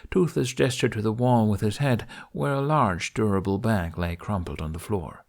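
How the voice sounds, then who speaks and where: Low-pitched man narrating an audiobook. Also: normal speed, vocal fry, a little mellow. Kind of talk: scripted fiction narration.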